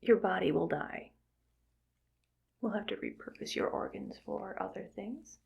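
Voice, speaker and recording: A woman voicing a cold, calm evil scientist who harvests brains, whispering softly in a clinical, matter-of-fact way for an ASMR roleplay. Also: medium pitch, a little slow, gravelly, dull audio. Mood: coolly clinical. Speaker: ASMR creator.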